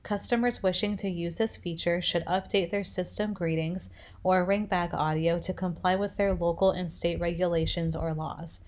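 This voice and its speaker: A woman with a slightly low voice narrates an instructional screencast. She guides the listener through the software one step at a time, as the presenter of a tutorial. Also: steady tone, moderate pace.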